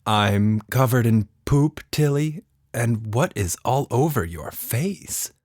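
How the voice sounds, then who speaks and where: A man's voice: mid-range voice, animated, takes it slowly, darkish tone, no room sound, clean studio sound. A man voicing a harried but patient mother of young children in a children's story.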